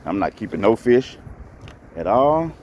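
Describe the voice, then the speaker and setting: A man's voice: fairly low pitch, big pitch swings, measured pace, darkish tone, dull audio. A man talking casually to viewers while fishing from a pond bank, filmed on a head-mounted camera. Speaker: fishing vlogger. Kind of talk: casual outdoor fishing commentary. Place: grassy pond bank outdoors. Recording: faint outdoor background noise.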